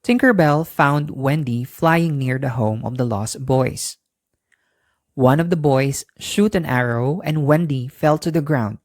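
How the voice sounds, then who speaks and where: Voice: medium pitch, unhurried, a little mellow, no room sound. Storyteller with a dry, close sound. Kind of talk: scripted bedtime story narration for children.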